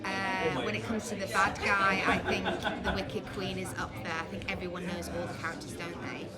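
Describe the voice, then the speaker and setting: A woman's voice: mid-range voice, little pitch movement, brisk. A woman giving a casual interview, chatty and emphatic, thinking aloud as she answers. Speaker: interviewee, pantomime writer, director and performer. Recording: loud music and crowd chatter behind. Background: loud music and crowd of people.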